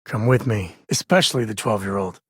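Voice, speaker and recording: An actor playing a rugged, folksy space-frontier ranger and devoted father in a video game, with a husky voice and an easygoing, sincere way of talking. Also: fairly low pitch, brisk, dry recording, clean studio sound. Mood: matter-of-fact. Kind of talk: acted game companion dialogue.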